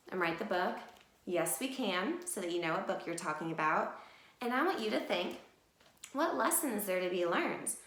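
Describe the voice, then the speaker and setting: A woman teacher speaks to young pupils in an echoey room. Her delivery is lively and varied in pitch, as in a children's read-aloud, with simple, clear wording. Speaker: teacher reading a picture book aloud.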